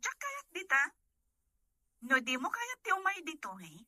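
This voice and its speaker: A woman's voice: very high voice, big pitch swings, moderate pace, pushed and bright, no room sound, full character voice. A woman playing a young Filipino wife who wants her freedom, in a drama. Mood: evasive. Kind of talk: comedy-drama acting.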